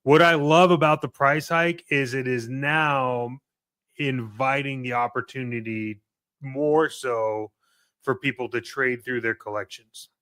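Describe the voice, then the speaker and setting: A man talks casually as a podcast co-host, giving his opinions plainly. His voice is clear and smooth at a middling pitch. The sound is dry, with very little room in it. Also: fairly even intonation, unhurried, fairly bright tone, no room sound.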